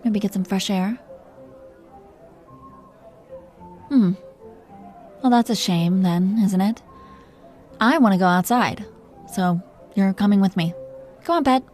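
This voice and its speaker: Dragon queen conqueror, played by a woman: flirtatious, possessive, commanding, mid-pitched, intimate roleplay audio with music underneath. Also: unhurried, pauses often, darkish tone, close to an everyday voice. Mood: seductive, bossy. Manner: teasing, commanding, flirtatious with pet names.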